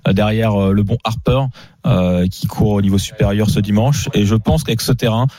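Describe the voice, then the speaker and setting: A man's voice: lowish voice, normal speed, dark tone, dry recording, clean studio sound. A man giving confident racing tips as a pundit on a radio panel.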